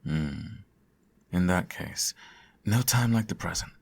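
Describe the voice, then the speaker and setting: A man playing a sardonic, theatrical supervillain who fusses over his nemesis in an ASMR audio roleplay, his voice slightly husky. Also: low voice, expressive, slow, heavily acted. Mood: caring, fussing. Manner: theatrical, teasing, sarcastic.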